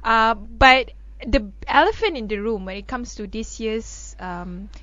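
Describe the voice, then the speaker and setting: A woman's voice: fairly high pitch, measured pace, pushed and bright, dry recording, lo-fi sound. A woman co-hosting a radio current affairs discussion. She gives firm opinions and explains with everyday analogies. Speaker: radio show co-host.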